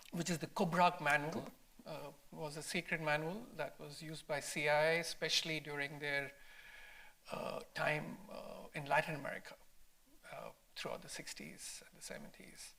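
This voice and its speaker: A man, a filmmaker answering audience questions in a halting way, often repeating and rephrasing himself. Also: fairly high pitch, gravelly, sharp tone. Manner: halting, repeats and reformulates phrases. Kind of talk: conversational Q&A answer.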